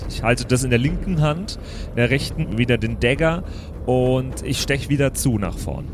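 A man's voice: mid-range voice, animated, moderate pace, noisy background. A man playing a tabletop game in casual improvised chat, speaking hesitantly and often checking what he may do.

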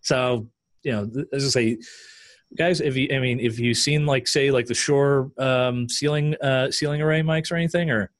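A man hosting a casual panel discussion, telling stories in an animated way and acting out other people's remarks. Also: mid-range voice, moderate pace, no echo. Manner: animated storyteller, acting out quotes. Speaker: podcast host leading a panel discussion. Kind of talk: casual conversational talk.

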